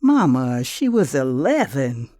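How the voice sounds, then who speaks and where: A woman's voice: a bass voice, sing-song, slow pace, dark tone, no room sound, studio quality, exaggerated voice. A woman acting a defensive daughter. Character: defensive, guilt-laden grown daughter, plainspoken Southern woman.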